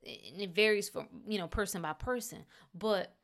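A woman's voice: mid-range voice, normal speed, fairly bright tone. A woman talking casually to her viewers about cleansing the body. She stresses her points and repeats phrases for emphasis, with chatty asides. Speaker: health and detox vlogger. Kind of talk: casual talk to camera.

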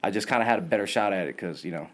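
Casual male host who was born in Vietnam, relaxed and slangy, laughs a lot while he tells stories. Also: deep voice, very fast. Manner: laid-back slangy storytelling, laughs often. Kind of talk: casual chat.

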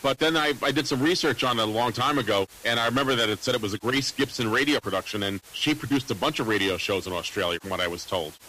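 Guy from the us chatting radio memories, talks fast, bright voice, bit of hiss. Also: mid-range voice, low-quality recording. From United States. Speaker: radio talk show panelist. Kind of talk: casual reminiscing radio talk.

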